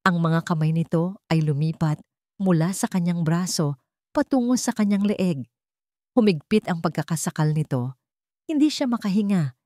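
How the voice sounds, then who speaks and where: A woman tells a scripted story in a dramatic narrating style. Her voice sits a little lower than most women's. It is recorded close, with a very dry sound and no room echo. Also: warm tone.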